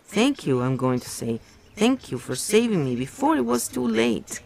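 A man's voice: sits high, big pitch swings, normal speed, creaky, a little mellow, pitched above the performer's own voice, heavily acted. A man reading aloud and voicing a pudgy, frightened middle-aged prisoner who pleads eagerly and almost blubbers.

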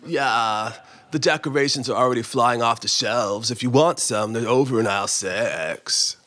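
A man voicing an unbothered, mildly amused store employee with vocal fry, casual and matter-of-fact, in a comic audiobook. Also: mid-range voice, moderate pace, a bit bright, no echo, lightly acted. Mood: breezy. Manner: laid-back, matter-of-fact. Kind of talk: comic audiobook character acting.

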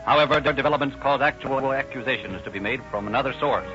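A man's voice: mid-range voice, fast, close to an everyday voice. A man playing the formal, melodramatic narrator of a 1930s radio crime drama. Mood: grave, dramatic. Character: grave, formal male crime-story narrator. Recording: muffled old-time radio recording, music.

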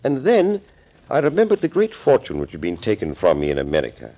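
An actor playing a sinister middle-aged doctor, florid and mock-polite, in a 1940s radio thriller. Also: male voice, lowish voice, wildly swooping pitch, a little fast, warm tone, heavily acted, poor audio. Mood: brooding, bitter. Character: sinister middle-aged male doctor, suave, gloating schemer.

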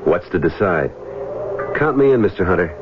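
A man's voice: low-pitched, expressive, normal speed, background noise. An actor playing a rugged, wisecracking American ex-Navy diver in a radio drama, talking in tough-guy slang. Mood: resolute. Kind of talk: radio drama acting and narration. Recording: dull old radio broadcast, music behind.

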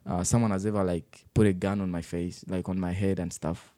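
A man's voice: low-pitched, flat delivery, brisk, warm tone, no echo, studio quality. A young man in his twenties from the Congo, raised in Uganda, telling his life story in an interview with many fillers and restarts. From Democratic Republic of the Congo, raised in Uganda. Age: 20s.

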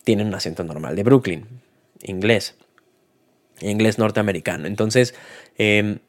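Hombre mexicano reseñando una película, voz grave. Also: male voice, fairly low pitch, unhurried. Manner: hesitant, many fillers and restarts. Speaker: film reviewer. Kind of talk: casual spoken movie review.

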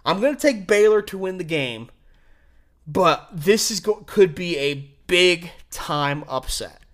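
A man's voice: sits high, animated, takes it slowly, a bit bright. Emphatic American male sports show host. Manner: emphatic, opinionated, stresses key words. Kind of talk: college football picks commentary.

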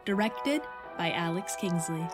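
A woman's voice: on the low side, expressive, a little slow. A woman reading scripted podcast credits as an announcer. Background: loud music.

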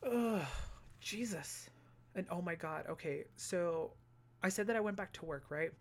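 A young man with a high-pitched voice, telling stories in a casual monologue, animated, swearing freely and tossing in sassy asides. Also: a little slow. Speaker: vlogger.

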